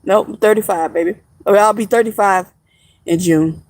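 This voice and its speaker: A woman's voice: medium pitch, moderate pace, a little mellow. Chatty woman in her thirties. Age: 30s. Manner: chatty, laughs often, teasing viewers. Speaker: vlogger. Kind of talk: casual livestream chat. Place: outdoors in the woods.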